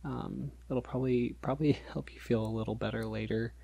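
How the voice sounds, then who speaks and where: A man playing a polite, gentle vampire in an ASMR roleplay, speaking softly and hesitantly, often breathy and near a whisper. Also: mid-range voice, steady tone, a little fast, dry recording. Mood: gentle, reassuring. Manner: hesitant, reassuring, softly chuckling. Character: polite, awkward, gentle young male vampire. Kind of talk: ASMR roleplay, soft-spoken and intimate.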